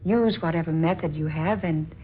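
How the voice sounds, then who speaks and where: A woman acting out a gracious young princess of another world in a radio drama. Her voice sits low and her diction is formal and earnest. The recording is muffled, with clear noise. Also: moderate pace, lightly acted. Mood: grave, pleading. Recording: muffled, noisy old-time radio recording.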